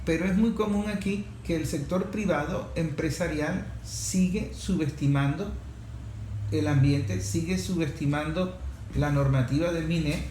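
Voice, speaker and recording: A man's voice: fairly high pitch, unhurried, darkish tone. A Venezuelan man speaking as an interviewer, explaining conversationally and repeating phrases for emphasis. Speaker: interviewer, show host. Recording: echoey room with faint noise.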